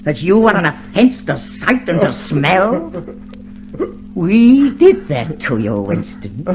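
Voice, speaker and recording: An actor playing a cold, fanatical, domineering party torturer in a vintage radio drama, with theatrical, emphatic menace. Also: male voice, high voice, wildly swooping pitch, slow, music in the background, full character voice. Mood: taunting, cruel. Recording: noisy, humming old radio drama recording.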